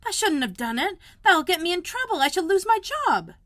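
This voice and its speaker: A woman's voice: extremely high pitch, very animated, moderate pace, a bit bright, no echo, voice pushed up, heavily acted. Woman voicing an anxious young storybook housemaid. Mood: distressed. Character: anxious, plain-spoken young Yorkshire housemaid, easily alarmed.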